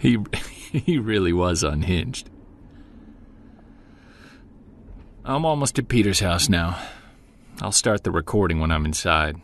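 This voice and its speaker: Weary investigator, gravelly low voice. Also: male voice, expressive, normal speed. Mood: wry. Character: weary, stressed male Bigfoot investigator recording an audio log. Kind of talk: audio drama, confessional narration.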